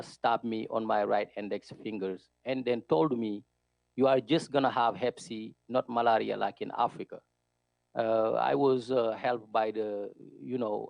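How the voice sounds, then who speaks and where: Senegalese-accented man, gravelly, dry close sound, earnest and halting non-native English. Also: mid-range voice, darkish tone, no echo, low-quality recording. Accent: Senegalese French. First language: Wolof. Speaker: guest, prison nurse. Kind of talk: interview answers.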